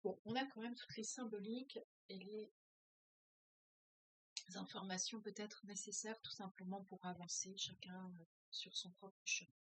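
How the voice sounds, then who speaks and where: Female workshop leader at a mid pitch, reads passages and then muses out loud with lots of pauses and filler sounds; close, dry sound. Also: steady tone, dry recording, poor audio. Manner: hesitant, many filler sounds, thinks aloud. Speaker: French as a foreign language teacher. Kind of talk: reading a novel aloud with commentary.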